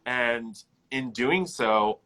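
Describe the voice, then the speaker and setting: A man's voice: medium pitch, extremely slow, sharp tone, low-quality recording. A man talking reflectively but firmly in a casual conversation, leaning on "like" as a filler. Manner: reflective, lots of "like" fillers, firm.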